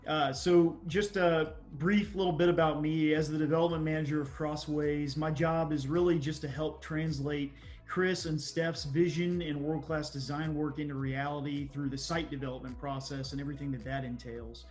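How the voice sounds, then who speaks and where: Young male presenter, Texan accent, slightly high pitch, semi-formal and explanatory delivery. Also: fairly even intonation, moderate pace, hardly any pauses, darkish tone, soft background music. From small town Texas, USA. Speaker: development manager presenting on a panel.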